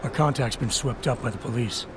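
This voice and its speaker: An actor playing a stoic, terse augmented agent in a video game, with a controlled, deadpan delivery. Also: male voice, medium pitch, brisk, heavy background noise, natural voice. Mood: terse, calm. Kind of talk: video game cutscene acting.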